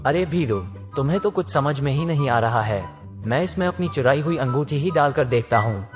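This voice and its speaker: A bumbling, street-smart village thief, voiced by a man for a cartoon story. He talks roughly and blusters in a mid-range voice, with faint music underneath. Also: medium pitch, animated, moderate pace, natural voice. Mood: quarrelsome. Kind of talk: cartoon voice acting.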